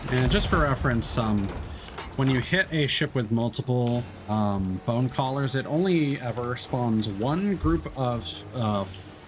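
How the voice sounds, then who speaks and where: A man chats over his gameplay, his pitch bouncing lively up and down as he thinks aloud and corrects himself. His voice sits at an ordinary middle pitch for a man. A faint hum runs underneath. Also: measured pace. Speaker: streamer. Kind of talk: casual gameplay commentary.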